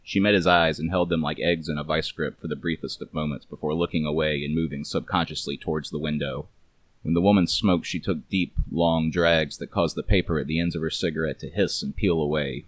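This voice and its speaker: A man narrating a scripted noir story in a measured, deliberate way. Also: a bass voice, no echo.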